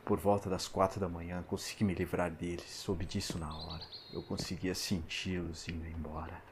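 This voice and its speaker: Man acting as exhausted frightened male fugitive, low voice, Brazilian accent, haunted and urgent, audio drama, faint noise behind. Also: level tone, moderate pace, close to an everyday voice. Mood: haunted, fearful. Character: frightened, exhausted man fleeing an unseen invasion.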